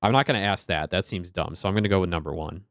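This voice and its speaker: Male game streamer, very low voice, laid-back and joking, young adult. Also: fast, background noise. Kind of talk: casual gaming commentary.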